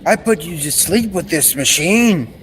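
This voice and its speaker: A young man playing a fussy, excitable inventor in an old-time radio serial. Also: a little high, unhurried. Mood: pleased, assured. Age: young adult. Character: excitable male scientist and inventor proud of his machine. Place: school stage production. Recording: echoey hall with background noise.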